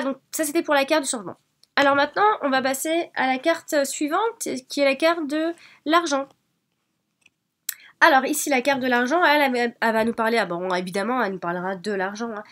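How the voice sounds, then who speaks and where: Woman w a cold going over oracle cards, kinda high voice, lots of euh and restarts. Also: fairly bright tone. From France. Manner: hesitant, many fillers and restarts. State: has a cold. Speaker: oracle card reader. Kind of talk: casual card presentation.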